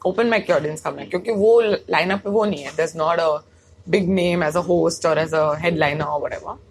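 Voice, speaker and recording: A woman's voice: sits low, very fast, slight background noise, rough recording. A young woman with a Bangalore Indian English accent, a stand-up comic chatting casually and rambling as she slips between English and Hindi mid-sentence. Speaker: stand-up comic and comedy writer. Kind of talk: casual chat.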